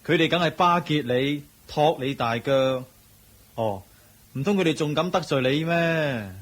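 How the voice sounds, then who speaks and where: Loyal, bantering Hong Kong Cantonese companion, slightly high pitch, animated radio drama delivery. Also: male voice, measured pace. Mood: wry, teasing. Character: loyal, teasing male companion of a newly rich villager.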